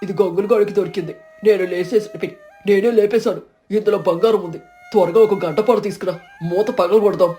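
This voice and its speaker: A woman voicing a greedy, scheming and vengeful male villager in an animated moral story, boastful and exclamatory. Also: mid-range voice, brisk, soft background music, close to an everyday voice. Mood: excited greed.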